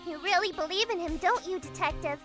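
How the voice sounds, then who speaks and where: A woman's voice: very high voice, fairly quick, over loud music. A woman voicing a perky, curious teenage girl, bubbly and inquisitive, in an audio drama of a video game story. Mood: concerned, inquiring.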